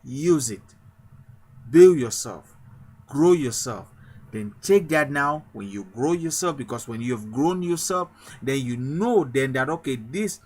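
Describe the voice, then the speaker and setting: A man's voice: medium pitch, lively intonation, measured pace, darkish tone, breathy edge. A man from Cameroon, a pastor, giving an emphatic motivational sermon with a repetitive rallying cadence.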